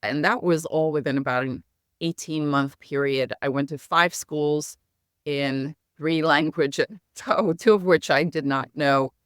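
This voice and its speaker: A middle-aged woman, a native Hungarian speaker with an American accent, telling her story as an interview guest in an articulate, measured, formal way. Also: sits low, dry recording, clean studio sound. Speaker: interview guest, marketing executive.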